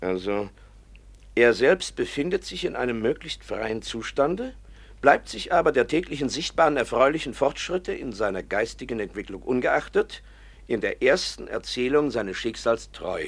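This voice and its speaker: A man's voice: mid-range voice, expressive, normal speed, gravelly, slightly rough. Earnest, articulate male professor. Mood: uneasy. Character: earnest male professor and pedagogue, devoted to his ward. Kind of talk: German radio play acting.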